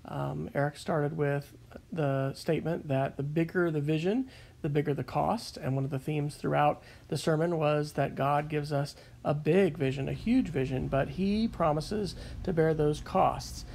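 A man reading a scripture passage and then calmly summarizing a sermon he heard, with frequent fillers. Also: fairly high pitch, measured pace, vocal fry. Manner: calm, reflective, with frequent fillers. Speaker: sketchnote narrator.

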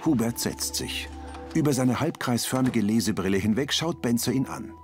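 A man reading scripted audio description for a TV series in a measured, matter-of-fact way. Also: mid-range voice, expressive, darkish tone. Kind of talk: scripted narration of a TV crime series. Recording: music behind the voice.

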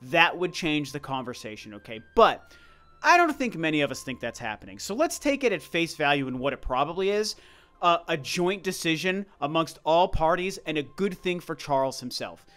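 A man's voice: a little high, big pitch swings, moderate pace, a bit bright. A man giving casual, conversational opinion commentary, speaking emphatically and repeating his points for stress. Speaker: video commentator. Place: voice-over over gameplay footage.

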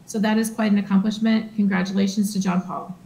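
A woman's voice: medium pitch, level tone, creaky, heavy background noise. A woman school librarian presenting student awards remotely at a school board meeting, reading names with some hesitation. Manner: reads names haltingly with fillers.

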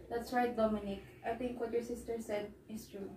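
A stressed, dutiful eldest sister, acted by a young woman in an amateur family melodrama. Her fairly high voice is soft-edged and earnest, picked up from far across the room. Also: flat delivery, normal speed, natural voice. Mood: reassuring. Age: young adult. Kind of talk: amateur one-act melodrama. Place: small living room, indoors. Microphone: far camera. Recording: echoey room, distant mic, background music.

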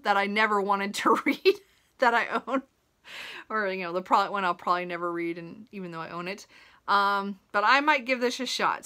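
A woman's voice: on the high side, pushed and bright. A woman in her thirties talking casually to camera as a book vlogger, in a rambling way that often interrupts itself. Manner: rambling, self-interrupting, chuckles while talking. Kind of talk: casual chat to camera about a reading list. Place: small room at home, indoors.

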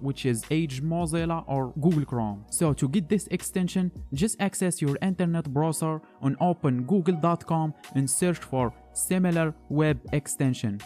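Male tech tutorial host, mellow tone, plain step-by-step delivery, faint music underneath. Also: a little high, moderate pace, few pauses. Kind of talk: screen-recorded tech tutorial voice-over.

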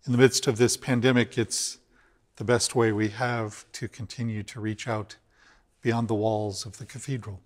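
An older man, a cathedral rector, giving a measured, earnest weekly reflection on scripture in a large empty church, filmed at mid distance. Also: fairly low pitch, level tone. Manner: measured, earnest, slows for emphasis. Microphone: camera at mid distance. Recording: long church reverb.

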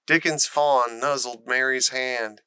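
Man, children's story narration, unhurried, crisp, close dry sound. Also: mid-range voice, fairly even intonation, pushed and bright, no echo. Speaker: storybook reader.

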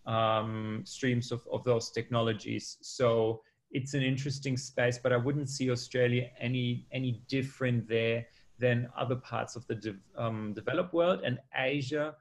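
Man giving measured panel answers. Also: medium pitch, steady tone. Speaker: panelist, consultant. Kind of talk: panel discussion answers on a video call. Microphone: video call.

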